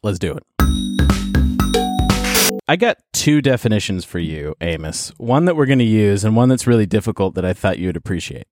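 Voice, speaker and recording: A man's voice: lowish voice, sing-song, darkish tone, soft background music. A man hosting a casual podcast, teasing his friends and stretching words out for emphasis. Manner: playful, teasing, drawn-out emphasis. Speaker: podcast host. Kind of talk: casual banter.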